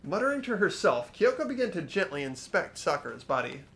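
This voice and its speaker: Man as an earnest teen boy, higher-pitched, very expressive. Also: brisk, vocal fry, heavily acted. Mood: shaken, somber. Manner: dramatic, earnest. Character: earnest, anguished teenage boy narrator. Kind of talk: fan voiced game narration.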